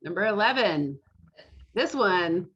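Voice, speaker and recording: A woman with a mid-pitched voice runs a casual game as its host. She talks informally over a video call, and the sound is dull and lo-fi. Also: animated, takes it slowly, fairly bright tone, breathy edge. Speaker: quiz host at an online fan club meeting.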